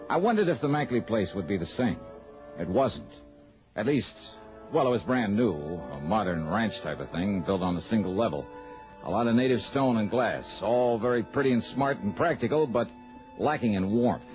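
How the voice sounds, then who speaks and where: A man's voice: on the low side, big pitch swings, normal speed, music in the background. An actor playing a wry, easygoing insurance investigator, telling his case conversationally in a 1960s radio drama. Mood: dry storytelling. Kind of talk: 1960s radio drama, first-person detective narration. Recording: dull old radio recording.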